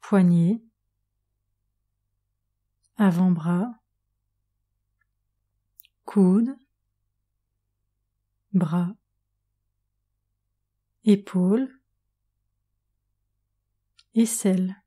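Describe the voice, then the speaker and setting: A woman yoga nidra instructor guiding a relaxation meditation in a calm, measured manner with long pauses. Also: on the low side, breathy edge. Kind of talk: guided relaxation meditation narration.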